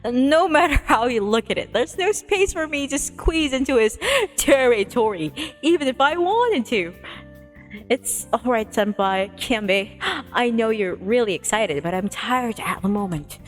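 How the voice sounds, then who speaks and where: A woman reading the part of a tired, reluctant student narrator in a visual novel, measured and mildly exasperated. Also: a little high, animated, faint music behind. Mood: weary, exasperated.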